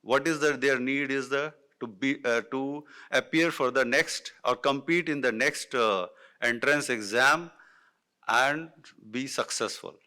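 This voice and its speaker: Man, Indian English accent, native Hindi speaker, middle-aged, conference panel remarks, smooth crisp tone, lots of fillers. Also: mid-range voice, sharp tone. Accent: Indian English, Hindi-influenced. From north India. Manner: halting, many fillers and restarts.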